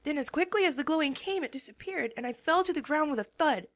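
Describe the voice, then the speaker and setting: A woman's voice: sits high, lively intonation, fast, no room sound. Worn-out young pegasus girl voiced by a woman telling her tale, drowsy and plain-spoken. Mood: tired. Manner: first-person storytelling, drowsy. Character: tired tomboyish young female pegasus narrating her story. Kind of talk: first-person narration in a fan dramatic reading.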